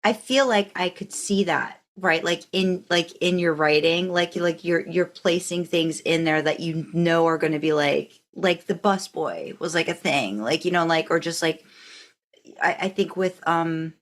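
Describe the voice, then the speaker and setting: A woman with a fairly deep voice hosts a relaxed group conversation. She talks in loose, rambling thoughts and encourages the people she is speaking with. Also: measured pace. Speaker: podcast host. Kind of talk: casual group discussion.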